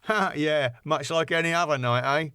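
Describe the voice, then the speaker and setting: A man voicing a breezy, cheeky, quick-thinking lad who bluffs in colloquial speech. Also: on the high side, sharp tone, clear, resonant tone, no echo, clean studio sound, voice pushed up.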